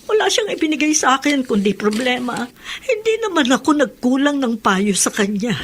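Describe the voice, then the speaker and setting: A careworn mother in a radio drama. Her fairly high voice swings widely with feeling as she pleads, with faint noise behind her. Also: very animated, moderate pace. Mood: worried. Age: middle-aged. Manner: pleading, emotional. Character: anguished, careworn mother of a wayward son. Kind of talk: Filipino radio drama acting.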